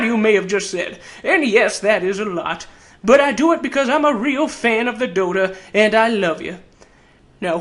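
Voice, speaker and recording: A tiny old fantasy game adventurer with a very high, odd, piercing voice. He swoops around in pitch, sarcastic and cocky, teasing the listener with folksy grammar as he pitches himself as an announcer. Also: sharp tone, full character voice. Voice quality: weird, high-pitched character voice. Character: tiny old sarcastic male keen-folk treasure hunter.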